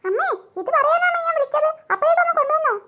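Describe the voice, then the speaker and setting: A performer voicing an excitable, whiny young girl in a cartoon comedy, given to pleading and exclaiming. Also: extremely high pitch, racing, lo-fi sound, noisy background. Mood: startled, indignant.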